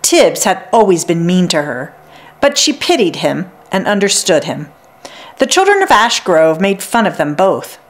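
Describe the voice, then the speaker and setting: Woman reading a storybook, lively pitch. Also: unhurried. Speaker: read-aloud narrator for children. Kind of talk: reading a children's novel aloud.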